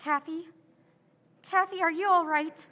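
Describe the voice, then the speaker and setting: A woman's voice: very high-pitched, steady tone, a little slow, lo-fi sound, very noisy. Woman as a cold, scheming sister. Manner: cold, cutting, sneering. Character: scheming, contemptuous younger sister, adult woman. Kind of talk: old-time radio drama recreation.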